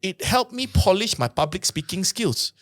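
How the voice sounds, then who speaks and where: A man talks as a podcast guest, his voice on the higher side and close to a dry mic. He tells his stories in an animated, quick way and laughs easily between points. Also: no echo. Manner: animated, quick, laughing often, repeats "yeah". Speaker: podcast guest, trainer and speaker. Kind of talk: casual storytelling chat.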